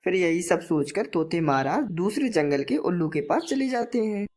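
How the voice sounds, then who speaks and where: A woman's voice: fairly low pitch, animated, moderate pace, a little mellow. A woman narrator reading a scripted children's moral story.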